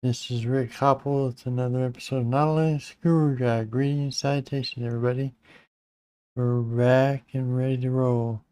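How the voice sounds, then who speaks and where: A middle-aged man with a slightly raspy voice, hosting a casual live-streamed Linux tutorial, rambling with fillers and restarts. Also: medium pitch, steady tone, moderate pace, mellow, no echo. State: slightly raspy, clearing his throat.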